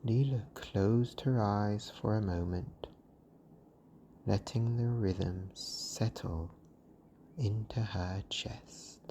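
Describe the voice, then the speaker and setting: A storyteller giving a slow, soothing bedtime narration in a gentle, hushed and breathy delivery. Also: deep voice, slight background noise.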